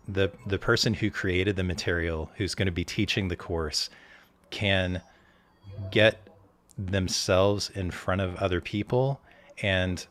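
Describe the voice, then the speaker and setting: Male host, very low voice, upbeat and explanatory. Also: fairly bright tone. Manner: enthusiastic, explanatory. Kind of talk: casual interview chat.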